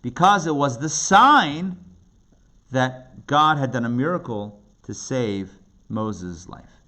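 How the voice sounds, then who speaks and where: A man's voice: mid-range voice, expressive, takes it slowly, pushed and bright. A man teaching a class as a rabbi, explaining texts in plain talk with emphatic repetition and rhetorical questions. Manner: emphatic, repeats phrases and asks rhetorical questions. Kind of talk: interactive lecture, explaining commentaries in plain talk. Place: indoor class with participants. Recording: live classroom recording with background noise.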